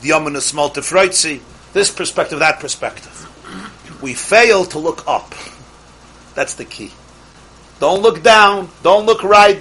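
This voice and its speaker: A man teaching a Torah class, lively and emphatic, mixing in Hebrew terms and swinging from loud exhortation to quiet asides. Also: high voice, slight background noise.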